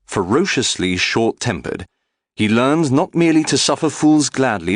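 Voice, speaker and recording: A man narrates a biography in a fairly low voice. His delivery is measured and formal, with clearly articulated phrases and plenty of movement in pitch. The sound is dry, with no room around it. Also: no echo. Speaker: audiobook narrator.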